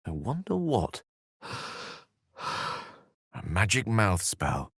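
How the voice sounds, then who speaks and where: A man's voice: deep voice, wildly swooping pitch, slow, a bit bright, husky, no echo, studio quality, full character voice. A man voicing an articulate, bookish human wizard in a video game, wry and gallant, with theatrical, wordy delivery. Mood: curious, polite. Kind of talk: video game character acting.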